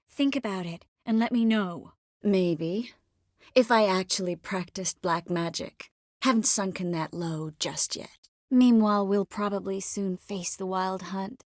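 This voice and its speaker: An actress playing a young sorceress in a video game, warm and clever, with a wry, quietly determined manner. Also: female voice, mid-range voice, dry recording, clean studio sound, close to an everyday voice. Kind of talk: video game character dialogue.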